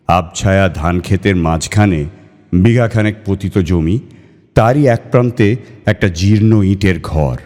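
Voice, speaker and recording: A male storyteller narrates a ghost story in a low voice. His delivery is measured and expressive, building suspense. Long, hall-like reverb trails his words.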